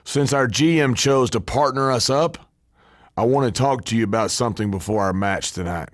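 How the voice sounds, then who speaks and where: A man's voice: mid-range voice, normal speed, no echo, clean studio sound, close to an everyday voice. A man playing a cocky, scheming, trash-talking pro wrestler in scripted video game dialogue, with a brash, emphatic delivery. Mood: confiding, measured.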